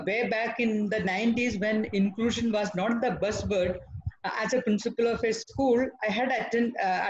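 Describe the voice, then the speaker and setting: A woman's voice: mid-range voice, slight background noise. An older woman, a former school principal speaking hesitantly with many fillers on a video call. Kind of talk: panel discussion remarks. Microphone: laptop webcam on video call. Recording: muffled video call audio.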